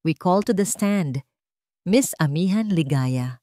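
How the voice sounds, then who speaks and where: A woman's voice: fairly low pitch, animated, slow, dark tone, dry recording, studio quality. A woman voicing a composed lawyer in a story, formally questioning witnesses in court. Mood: formal, probing.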